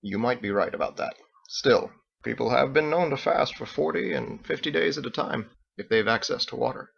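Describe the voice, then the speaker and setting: A man voicing a wry, smug and courtly male seafarer in an audiobook, with formal, unhurried phrasing. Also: mid-range voice, animated, creaky, fairly bright tone, breathy edge, lo-fi sound, heavily acted. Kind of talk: character voice in audiobook narration.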